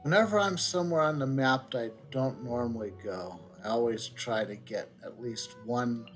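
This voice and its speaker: Laid-back male gamer, rambling commentary, mid-pitched voice, game music loud behind. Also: normal speed. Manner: casual, rambling, thinking aloud. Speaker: streamer. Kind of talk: gameplay commentary.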